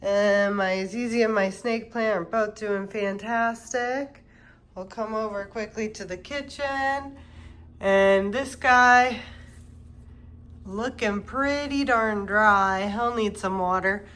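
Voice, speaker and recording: A woman's voice: medium pitch, takes it slowly. A woman hosting a plant channel, congested from a cold, chatting casually as she walks through her home. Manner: relaxed, chatty, walking through rooms with fillers. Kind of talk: casual home-tour vlog. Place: home, moving between rooms.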